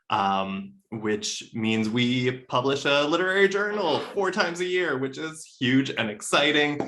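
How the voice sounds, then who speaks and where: Upbeat man with a bright voice. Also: mid-range voice. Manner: upbeat, keen. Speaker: podcast guest, literary journal editor. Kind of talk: casual interview answers.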